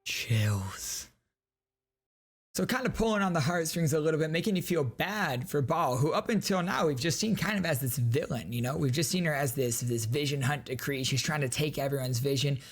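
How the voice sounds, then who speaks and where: A man's voice: a little high, expressive, normal speed, husky. Enthusiastic man giving casual gaming commentary. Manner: enthusiastic, slangy. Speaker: gaming video host.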